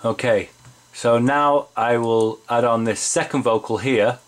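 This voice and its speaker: A man's voice: mid-range voice, measured pace, soft background music. A man giving a casual, rambling tutorial from his home studio, with frequent fillers and restarts. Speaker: home recording hobbyist.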